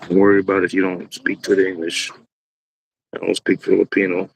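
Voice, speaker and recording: A man with a deep, raspy voice hosting a live chat room, chatting casually to himself while he waits for people on a small external mic. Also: monotone, moderate pace, slight background noise, poor audio. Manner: rambling, talks to himself while waiting.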